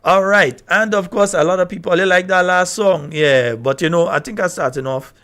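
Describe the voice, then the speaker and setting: Hoarse Grenadian radio host, higher-pitched man. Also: moderate pace, a bit bright. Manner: informal, calling out names. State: hoarse, voice giving out. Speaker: radio call-in show host. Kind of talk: live radio chat, greeting listeners. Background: music playing behind the voice.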